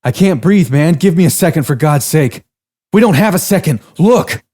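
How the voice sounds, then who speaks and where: Out of breath guy shouting, running scared, warm low-ish tone, man doing the voice. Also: expressive, normal speed. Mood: breathless. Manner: gasping, urgent shouting. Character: exhausted man fleeing on foot.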